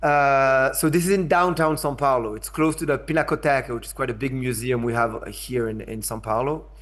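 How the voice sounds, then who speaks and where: A man's voice: mid-range voice, brisk, a bit bright. French-accented male speaker on a video call; hesitant, restarts a lot, keeps asking the room if they follow. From France. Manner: hesitant, repeats words, checks in with listeners. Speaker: invited speaker, curator. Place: in São Paulo, remote.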